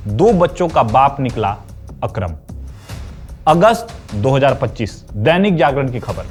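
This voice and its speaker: A man with an Indian accent delivering scripted explainer commentary in an emphatic way, with rhetorical questions. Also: high-pitched. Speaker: video essay host. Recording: music behind the voice. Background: background music.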